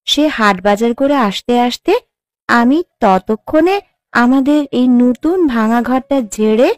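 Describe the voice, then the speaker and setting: A woman's voice: fairly high pitch, measured pace, dry recording, lightly acted. A woman voicing a dutiful, polite young village bride in a cartoon, deferential in manner. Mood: obliging. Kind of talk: Bengali cartoon voice acting.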